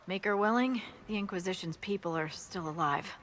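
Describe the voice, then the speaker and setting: An actress playing a brisk woman military scout in a video game, briefing in a frank, dry way. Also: medium pitch, a bit bright, slight background noise, close to an everyday voice.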